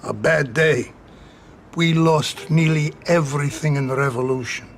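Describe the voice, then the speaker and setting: An actor playing a proud, older Sicilian mafia don, speaking slowly and formally in a game cutscene. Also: male voice, fairly high pitch, a little hiss or hum. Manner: slow, formal, dignified.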